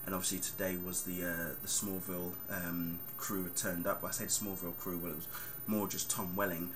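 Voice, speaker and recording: A man's voice: low-pitched, level tone, brisk. A man, chatting casually and rambling on a vlog with plenty of fillers. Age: 30s. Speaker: vlogger. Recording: clear background noise.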